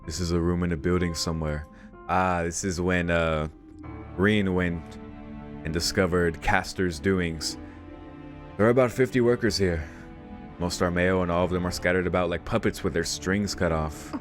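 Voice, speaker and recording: A man with a low voice reads visual novel narration aloud as a let's play host. He mixes the reading with casual asides, while faint game music plays behind him. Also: fairly even intonation, normal speed.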